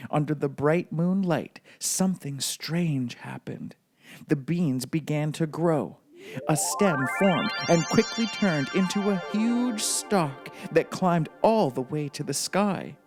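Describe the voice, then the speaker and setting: A man's voice: a little high, takes it slowly, faint music behind. A man narrating a children's bedtime story as a read-aloud storybook reader.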